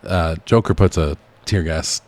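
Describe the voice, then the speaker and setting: A man's voice: low-pitched, steady tone, unhurried, darkish tone. A man co-hosting a podcast, chatting casually about movies with frequent chuckles and swearing. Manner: chuckles often, profane. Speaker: podcast host.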